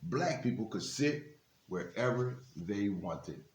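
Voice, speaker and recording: A man's voice: on the low side, lively intonation, takes it slowly, a little hiss or hum. A man reading a children's book aloud, breaking off for informal, encouraging asides to his young listeners. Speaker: guest reader at a children's book reading for a basketball team's literacy program. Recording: echoey, reverberant room.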